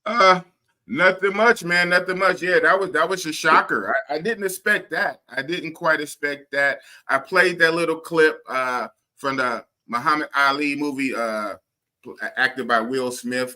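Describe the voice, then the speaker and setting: A man hosting a live stream, talking in an animated, rambling way that keeps repeating itself. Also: medium pitch, normal speed. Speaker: podcast and live stream host. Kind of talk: casual live stream chat.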